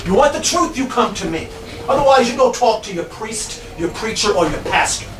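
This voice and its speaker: A man acting a blunt, pedantic, needling character in quick comic banter in a live stage play. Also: high voice, animated. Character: blunt, argumentative hard-faced man awaiting a friend. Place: small bar performance room. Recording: echoey noisy live venue, distant mic.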